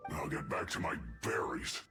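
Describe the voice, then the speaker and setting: A man's voice: very deep voice, moderate pace, pushed and bright. An actor playing a defensive, grumbling male agent in a video game, speaking over game music. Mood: sulky. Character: sulky male agent caught picking berries. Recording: roomy echo.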